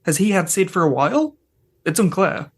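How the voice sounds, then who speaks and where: A man's voice: sits high, very animated, moderate pace, breathy edge. A man talking analytically and casually as a podcast panelist, often breaking off into asides. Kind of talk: casual episode discussion.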